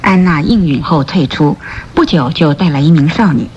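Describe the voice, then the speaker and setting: A woman's voice: low-pitched, moderate pace, a little hiss or hum. A woman giving dramatic scripted narration for a radio drama. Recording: dull radio sound under loud music.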